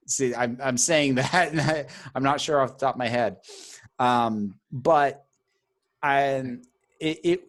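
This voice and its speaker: A baritone-voiced man, a guest in a casual interview, talking at length in an articulate, chatty way with many "like"s. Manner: articulate and talkative, lots of "like", laughs mid-sentence. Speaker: guest YouTuber.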